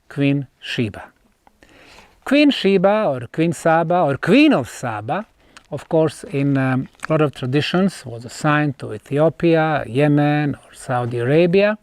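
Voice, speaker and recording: A man giving an unscripted explanatory lecture as a researcher, speaking emphatically like a storyteller and pausing between short phrases. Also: a little high, darkish tone, no echo. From Bosnia. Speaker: researcher presenting an archaeological site.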